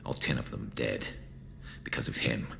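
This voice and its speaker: A man playing a grim, vengeful alien mercenary in a video game, measured and brooding, with a flanged, filtered growl. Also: extremely low pitch, fairly even intonation, gravelly, noisy background, full character voice. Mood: grave. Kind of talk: video game dialogue acting.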